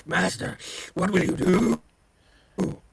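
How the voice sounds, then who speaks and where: A man voicing a hideous, servile villain's lackey with a gravelly voice and fawning manner. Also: high-pitched, slow, dry recording, heavily acted. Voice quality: creaky, gravelly.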